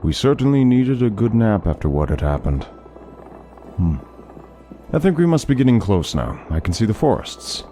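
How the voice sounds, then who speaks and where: A man playing a courteous, learned, melancholy traveling scholar in a fantasy audio drama, speaking in measured, formal phrases with music behind him. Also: on the low side, very animated, warm tone. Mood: genial, instructive. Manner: measured, formal, articulate storytelling.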